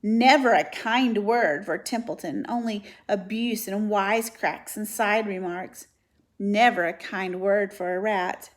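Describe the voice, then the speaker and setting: A woman's voice: mid-range voice, a little slow, close to an everyday voice. A woman doing a lazy, sarcastic rat. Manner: sarcastic, grumbling, mocking.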